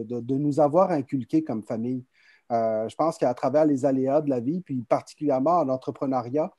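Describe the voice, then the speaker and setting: A man's voice: on the high side, no room sound. Man with a half-Québécois, half-French accent. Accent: mixed Québécois and French. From Chile, raised in France. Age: around 40. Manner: animated, repeats words while thinking. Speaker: guest entrepreneur. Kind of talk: relaxed conversational storytelling. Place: home office on a video call. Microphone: video call.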